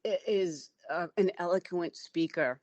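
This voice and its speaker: A middle-aged woman hosting a conversation, with a fairly low voice and a dry, close sound. She talks in an emphatic, opinionated way and rambles from point to point. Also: measured pace, darkish tone, dry recording. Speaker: host. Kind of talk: casual conversation.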